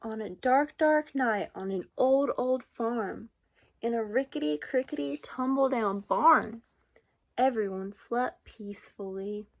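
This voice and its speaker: A woman reading a children's picture book aloud in a deliberate, expressive way, with sing-song emphasis. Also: a little high. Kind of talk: children's storybook read-aloud.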